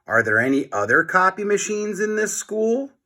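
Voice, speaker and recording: A man voicing an eager, mischievous schoolboy in a read-aloud storybook, confident and expressive. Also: high voice, normal speed, pitched above the performer's own voice, exaggerated voice.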